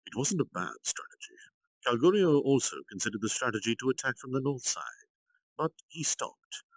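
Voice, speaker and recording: A man narrating a story aloud as a streamer, in a theatrical, deliberate reading style. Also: medium pitch, sing-song, no echo, low-quality recording. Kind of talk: dramatic narration of translated light novel.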